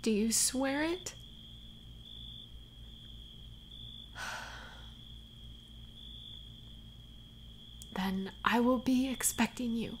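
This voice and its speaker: A woman acting as a tender, worried lover of a warrior in an intimate audio roleplay, speaking softly and haltingly, sometimes close to a whisper. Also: on the high side, expressive, a little hiss or hum. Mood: worried, pleading. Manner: soft, halting, sighing, sometimes near whisper.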